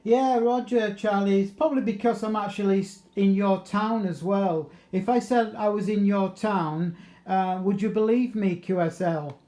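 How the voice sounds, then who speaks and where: Higher-pitched man chatting in an echoey room. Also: normal speed, dark tone. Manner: chatty, rambling, uses radio jargon. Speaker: amateur radio operator. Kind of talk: casual radio contact chat. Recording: roomy, echoey room sound.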